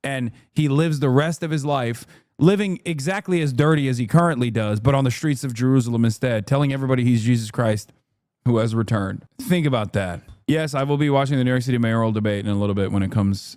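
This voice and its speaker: A man in his thirties hosting a live stream, speaking fast with sarcastic, mocking commentary. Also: mid-range voice, a little mellow, dry recording, studio quality. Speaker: streamer host. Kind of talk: casual reaction-stream commentary.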